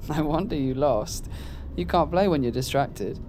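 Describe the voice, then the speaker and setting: An actor playing an apologetic, joking, protective male friend in a naturalistic audio drama, speaking in a halting, conversational way. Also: on the high side, noisy background, close to an everyday voice. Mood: teasing.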